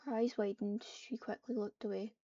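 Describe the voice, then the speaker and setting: A woman's voice: mid-range voice, steady tone, moderate pace, mellow, slightly rough, no room sound. Dull-sounding woman narrating roleplay. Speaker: roleplayer narrating a game session. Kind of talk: improvised third-person story narration. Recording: dull, muffled lo-fi microphone.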